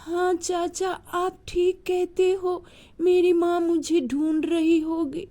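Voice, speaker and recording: Give this the sound of a small bird boy as a woman storyteller acts him. The voice is very high and soft-edged, plaintive and earnest, with slow, childlike phrasing for a children's story. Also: flat delivery. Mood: meek. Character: injured young male bird child, sad and self-sacrificing.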